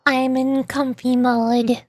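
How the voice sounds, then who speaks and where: High-pitched sleepy woman streamer. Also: level tone, takes it slowly, darkish tone. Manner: sleepy, tired, rambling. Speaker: VTuber streamer and amateur voice actor. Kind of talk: casual livestream chat.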